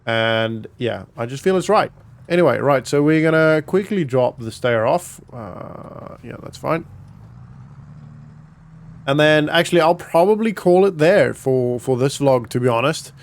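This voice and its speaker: A man's voice: on the high side, animated, measured pace, creaky. A man doing casual, chatty roleplay vlog commentary over a farming video game, thinking aloud in an informal way. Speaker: gaming vlogger and host.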